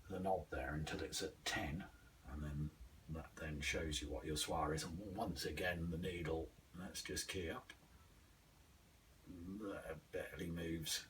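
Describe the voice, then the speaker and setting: A man talks through a hands-on product demonstration in a very low voice. He goes slowly and haltingly, thinking aloud in a casual way. There is faint noise behind him on the handheld camera recording. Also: steady tone, fairly bright tone, far from the mic, low-quality recording. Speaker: radio hobbyist reviewer.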